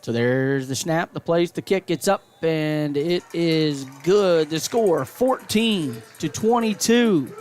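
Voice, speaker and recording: Excitable radio play-by-play man. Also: on the high side, takes it slowly, hardly any pauses. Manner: rising to excited calls on big plays. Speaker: play-by-play announcer.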